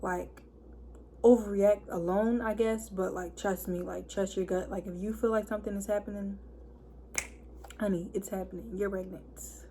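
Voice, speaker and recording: A hoarse woman of twenty-one, chatting casually to camera and packing her talk with fillers. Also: mid-range voice, steady tone, moderate pace, warm tone, a little hiss or hum. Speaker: vlogger. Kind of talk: casual story time chat.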